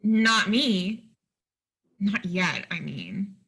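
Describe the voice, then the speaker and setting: Woman playing a folksy, bright, lively young hopeful. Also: medium pitch, drawn-out words, breathy edge, full character voice. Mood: skeptical. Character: plain-spoken, friendly, naive young woman hoping to model. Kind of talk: readers theatre play reading.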